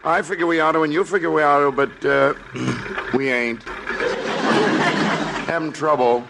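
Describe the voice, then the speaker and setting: An actor playing a stubborn, unhurried rustic builder, folksy and deadpan, in a 1950s radio comedy. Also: male voice, fairly high pitch, creaky. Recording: noisy old 1950s radio recording.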